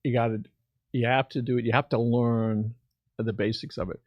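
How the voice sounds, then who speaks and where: A man hosting a casual interview in a studio, asking questions in a halting, conversational way. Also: mid-range voice, steady tone, no echo, dull audio. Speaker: show host.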